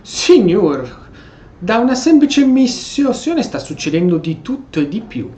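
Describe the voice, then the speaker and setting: A man's voice: high voice, sing-song, unhurried, mellow. An Italian man giving casual gameplay commentary, informal and sweary, reacting and replying to the game. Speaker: gaming streamer. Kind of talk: casual Let's Play commentary with role-played replies. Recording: roomy echo, clear background noise.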